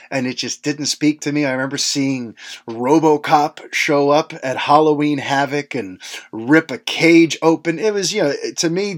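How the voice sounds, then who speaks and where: Nostalgic man in his late thirties, talking casually. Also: on the high side. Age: late 30s. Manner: conversational and nostalgic, with frequent "you know" asides. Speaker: podcast host. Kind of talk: casual reflective monologue.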